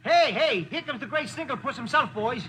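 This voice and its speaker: A man's voice: very high-pitched, animated, brisk, pushed and bright, a little hiss or hum, full character voice. An actor in 1940s radio style playing a brash, wisecracking soldier with a Brooklyn accent, a man. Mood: teasing.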